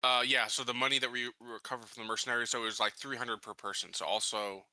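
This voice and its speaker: A man's voice: mid-range voice, fairly even intonation, fast, bright, forceful tone, no echo, poor audio. A man talking casually as a tabletop game player, often restarting and correcting himself. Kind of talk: casual chat.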